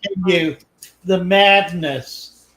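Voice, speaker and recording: An older man hosting a live stream, chatting in a slow, unhurried drawl. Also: sits high, a bit bright. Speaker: host. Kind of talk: casual live stream chat. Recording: echoey room.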